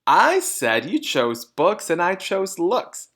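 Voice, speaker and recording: A man puts on a smug, vain storybook mother who sneers at everyone. The voice is raised in pitch and swings about widely, with brash, emphatic phrasing. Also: wildly swooping pitch, slow, fairly bright tone, heavily acted. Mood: gloating.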